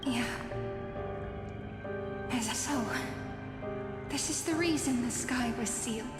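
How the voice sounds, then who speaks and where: A woman playing an earnest, sorrowful young heroine in a fantasy game, speaking plaintively and formally. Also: high-pitched. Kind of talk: dramatic video game voice acting. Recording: reverberant hall effect over music.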